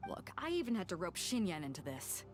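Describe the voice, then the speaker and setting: A woman's voice: lowish voice, big pitch swings, brisk, over loud music. A woman playing a bold, commanding sea captain in a video game, with a breezy, wry manner. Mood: relieved, friendly.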